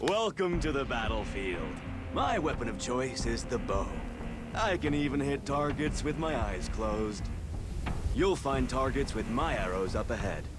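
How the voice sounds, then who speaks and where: Soldierly archer over loud music. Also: male voice, mid-range voice, sing-song, measured pace. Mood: confident. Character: confident male archer soldier training a recruit. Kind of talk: scripted game dialogue.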